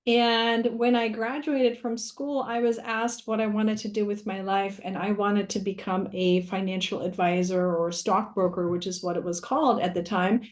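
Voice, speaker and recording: A middle-aged woman from Germany, a native German speaker, telling her life story at an online open mic, measured and pausing often with fillers. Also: medium pitch, steady tone. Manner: measured, with frequent filler pauses. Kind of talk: personal story told at a networking event. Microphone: video call.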